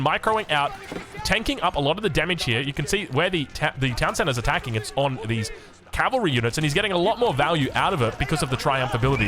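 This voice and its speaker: An Australian man giving live strategy game commentary in a chatty, enthusiastic, self-correcting way. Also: fairly high pitch. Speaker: esports caster of a strategy game.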